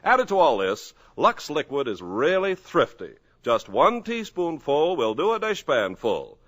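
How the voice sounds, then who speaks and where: A man's voice: fairly high pitch, bright, forceful tone. A man reading a product commercial as the announcer of a 1950s radio show, with a cheerful salesman delivery. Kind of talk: read commercial. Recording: old 1950s radio broadcast.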